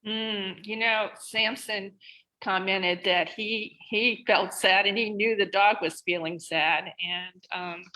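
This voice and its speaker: Bright-voiced woman co-leading a read-aloud. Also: mid-range voice, slow, poor audio. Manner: expressive questioning, thinking aloud. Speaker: reading teacher, co-presenter. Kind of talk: interactive children's read-aloud discussion. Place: online video session.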